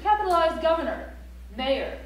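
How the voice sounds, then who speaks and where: A high-pitched female voice acted by a woman on stage lays down rules with precise, instructional delivery. It is a theatrical recitation, heard from a distance in a very echoey auditorium. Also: big pitch swings, moderate pace. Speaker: stage actor. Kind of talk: recited stage reading of a grammar rulebook. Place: library auditorium stage, recorded from the audience. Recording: echoey auditorium, distant mic, faint noise.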